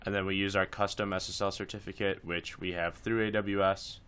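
A man's voice: low-pitched, little pitch movement, fairly quick, a bit bright, clear, resonant tone. A man presenting a casual screencast tutorial, talking informally through each step as he instructs.

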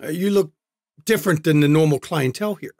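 A man's voice: on the high side, brisk, gravelly, a little mellow, no room sound, studio quality. A man voicing a polite, wiry young male troubleshooter in a tabletop game, in plain speech with no accent.

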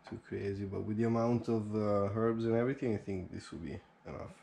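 A young man talks through his cooking in a home kitchen in an upbeat, rambling way, with plenty of fillers. He is recorded on a head-mounted action camera, and clear background noise and clicks run under his voice. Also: on the low side, brisk. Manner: chatty, upbeat, thinking aloud with fillers. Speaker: home cook vlogger. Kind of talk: casual cooking commentary.

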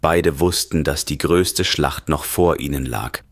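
A man narrating a scripted horror story in a grave, measured manner. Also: a bass voice, no echo, studio quality. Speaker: audiobook narrator.

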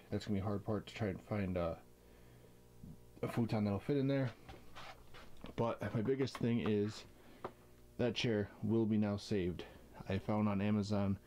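A man's voice: on the low side, mellow, slight background noise. A man in his thirties casually showing viewers around an RV, talking loosely with lots of fillers, into a handheld camera mic. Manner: loose, rambling with many fillers. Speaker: vlogger. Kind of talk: casual walkthrough vlog. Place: inside an RV, then outdoors on its roof.

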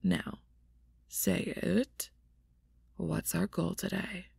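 A woman's voice: on the low side, lively intonation, extremely slow, creaky, a little mellow, slightly rough, no room sound, clean studio sound, exaggerated voice. A woman voicing a playful, laid-back sporty young woman with a jokey drawl in an audio roleplay. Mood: teasing. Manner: jokey, easygoing drawl with puns.

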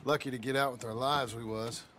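An actor playing a weary, gruff outlaw husband trying to go straight, with an American accent and folksy, halting speech in a western game. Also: male voice, mid-range voice, fairly even intonation, fairly bright tone, slight background noise, close to an everyday voice. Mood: humble, hesitant. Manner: folksy, plain-spoken, halting. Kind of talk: cinematic western game dialogue.

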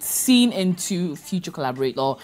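A young woman from Ghana hosts a TV studio interview in a low-pitched voice. She talks conversationally and backs up her guest with quick interjections, with faint music under the talk. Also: very animated, darkish tone. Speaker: TV host. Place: bright TV studio set.

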